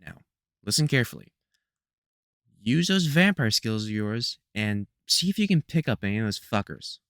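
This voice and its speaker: A man's voice: lowish voice, very animated, moderate pace, a bit bright, clean tone, no room sound, clean studio sound. A man playing a protective, sardonic veteran vampire hunter in an audio roleplay, scolding fondly in colloquial speech. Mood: focused, wry. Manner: colloquial, sardonic, scolding mentor with mild swearing. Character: gruff, protective veteran male vampire hunter, exasperated but fond. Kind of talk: scripted audio roleplay, one-sided conversation.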